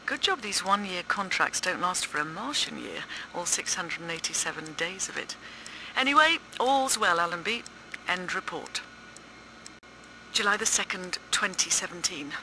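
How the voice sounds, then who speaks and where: A brisk woman directing a Mars project, filing an official report in a sci-fi game. She sounds very bright and forceful, businesslike with dry wit. Faint noise runs underneath. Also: on the low side, lively intonation, full character voice. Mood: businesslike, wry. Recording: faintly noisy audio-log recording.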